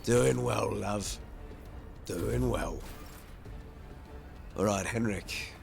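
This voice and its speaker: A man hosting a game playthrough in a low voice with a creaky edge. He thinks out loud and chats to his characters at an unhurried pace, over game music. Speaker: gaming channel host. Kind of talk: playthrough commentary over a turn-based strategy game.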